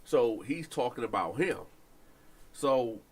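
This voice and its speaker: A young man with a stuffy, blocked-up nose tells a prison story. He speaks at a middling pitch, in a loose, slangy, animated way. He keeps talking straight to his listeners. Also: slow, husky.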